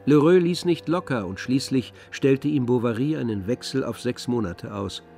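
Guy narrating a literary radio play, composed, medium pitch, soft music behind him. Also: moderate pace, gravelly.

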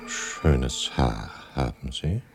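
An actor playing Odysseus, a flattering, evasive seafaring husband come home, with a husky, breathy voice in a German radio play. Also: male voice, extremely low pitch, extremely slow, music in the background, heavily acted. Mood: seductive flattery. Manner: flattering, placating, theatrical.

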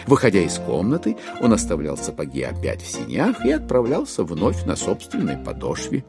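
Lively low-ish male narrator over music. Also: moderate pace. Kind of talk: literary scripted narration.

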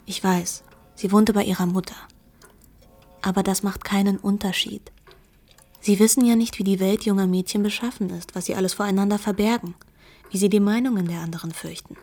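A woman's voice: mid-range voice, fairly even intonation, brisk, a little mellow, soft background music, close to an everyday voice. An actress playing a candid young woman who confides secrets about her friend in intimate literary radio drama dialogue. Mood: confiding, candid.

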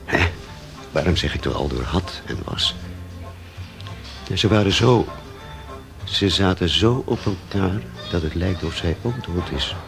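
A very low-voiced barman in a radio drama, with a creaky, gravelly edge. He rambles and corrects himself, talkative and cynical, with the hesitant delivery of everyday talk. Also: animated, a little slow, pauses often, over music, heavily acted. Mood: wistful. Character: talkative café barman, cynical, fond of missing bandmate. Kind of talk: naturalistic radio drama acting.

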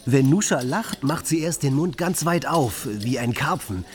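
A playful, talkative bohemian artist acted by a man in a literary radio play. His voice sits at a medium pitch, and he speaks in florid, persuasive phrases as a penniless matchmaker. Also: animated, measured pace, faint music behind, close to an everyday voice.

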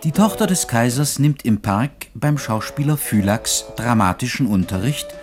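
Animated male radio play narrator over music. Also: medium pitch, moderate pace.

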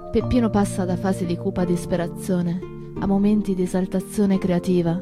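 A woman's voice: fairly low pitch, natural voice. Actress as reflective woman storyteller over music. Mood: sorrowful, reflective. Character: grieving, reflective woman, close companion of an activist. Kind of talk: dramatic first-person storytelling in a radio play. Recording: dry studio with music.

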